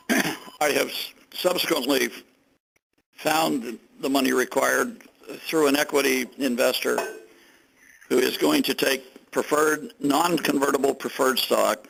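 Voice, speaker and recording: Gravelly older male executive. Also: medium pitch, fairly even intonation, slow, fairly bright tone. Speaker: company executive being interviewed. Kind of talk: conference call remarks, recounting his business. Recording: conference phone call.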